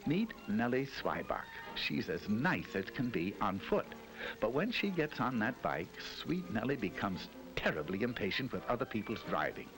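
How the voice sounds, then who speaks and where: Wry lively man narrating an old film. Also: on the low side, normal speed, rough recording. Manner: wry, mock-solemn storyteller. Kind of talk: scripted 1960s educational film narration. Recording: narration over loud music.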